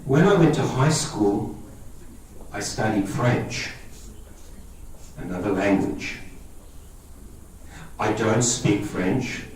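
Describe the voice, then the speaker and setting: A man's voice: on the low side, animated, slow, pauses often, darkish tone, recorded from a distance, a little hiss or hum. Greek-born man talking in an echoey hall. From Australia. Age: 50s. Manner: conversational, checks in with listeners. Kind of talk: public talk to a lay audience.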